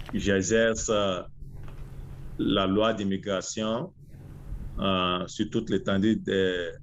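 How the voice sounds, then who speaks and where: A man in his forties from Benin with a Beninese accent, answering interview questions in a halting, deliberate way. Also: on the low side, highs cut off, slight background noise. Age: 40s. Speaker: interview guest, lawyer.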